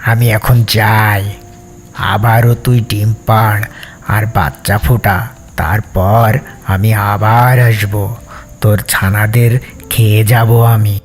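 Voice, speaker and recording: A man playing a wicked, greedy, scheming fox in a cartoon fable, with a menacing, taunting, drawn-out delivery. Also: on the low side, steady tone, faint music behind. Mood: gloating.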